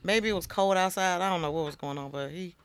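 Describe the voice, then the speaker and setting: A woman with a deep voice talking frankly and explicitly as a guest on a casual podcast. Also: fast talker, clean tone. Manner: bold, explicit and matter-of-fact.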